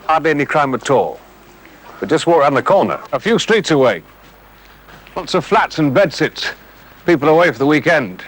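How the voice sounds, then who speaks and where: Animated British man, colloquial and matter-of-fact. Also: on the high side, normal speed, long pauses. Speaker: crime prevention film presenter. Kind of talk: conversational scripted advice, 1970s television. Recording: faintly noisy 1970s television soundtrack.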